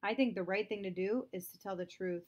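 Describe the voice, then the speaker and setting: A woman reading aloud, voicing a principled young brother who questions and insists on the truth, higher than her narration. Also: steady tone, fairly quick, a little mellow, lightly acted. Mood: firm. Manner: firm, questioning.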